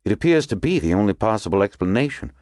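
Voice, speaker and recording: Male reader's reserved drawling agent, low and dry. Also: big pitch swings, fast talker, darkish tone, no room sound. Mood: measured. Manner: languid drawl. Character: calm aristocratic male FBI agent, reserved.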